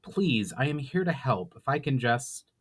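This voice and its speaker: A man's voice: mid-range voice, sing-song, unhurried, husky. Man playing an earnest, courteous elf. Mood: pleading. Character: earnest, courteous male elf, last of builders.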